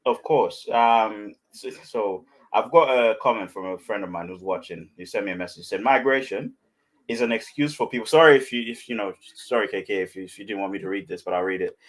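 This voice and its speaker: A man's voice: fairly low pitch, fast talker, hardly any pauses. A man in his thirties co-hosting a podcast in casual conversation from home on a video call, often repeating words as he goes. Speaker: podcast co-host. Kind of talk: casual discussion, reading viewer comments. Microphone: video call with headset.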